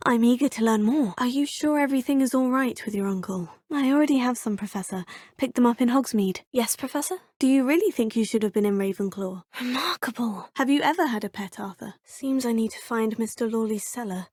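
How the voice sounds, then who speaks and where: A courteous young witch student speaks with formal, polished phrasing, voiced by an actress. Her voice sits a little high and carries a soft, breathy edge. The close studio recording is dry, with no room sound. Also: a little fast, dry recording, lightly acted. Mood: polite, curious. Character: young female witch student, curious and polite. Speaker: player character. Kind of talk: video game voice clips. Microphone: close studio mic.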